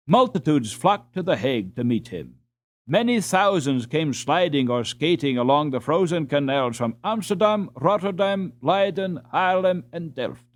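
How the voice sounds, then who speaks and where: A male audiobook narrator reads scripted prose in a measured, dramatic manner. His mid-pitched voice moves widely up and down, giving each phrase expressive shape.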